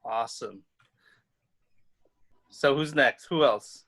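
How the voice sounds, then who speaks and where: A male podcast host with a bright, forward voice. He talks casually and upbeat with a group of young guests and likes to tease them. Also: medium pitch, slow pace. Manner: upbeat, playful teasing.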